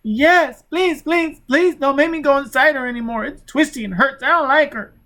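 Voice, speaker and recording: A man's voice: very high-pitched, bright, forceful tone, pitched above the performer's own voice, heavily acted. A man voicing a frightened, sickly child who weeps, stammers and pleads in an audiobook story. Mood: frightened, reluctant. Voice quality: whiny, pleading. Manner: weeping, halting, stammering. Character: frightened, sickly, wailing telepathic child, a boy.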